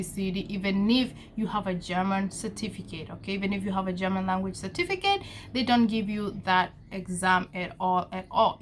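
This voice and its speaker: A young woman from Kenya talks straight to her viewers in a casual vlog, earnest and a little repetitive. Her voice sits at an ordinary middle pitch for a woman. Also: a little hiss or hum. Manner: earnest, repetitive, addresses viewers as guys, frequent okay. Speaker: vlogger giving visa advice.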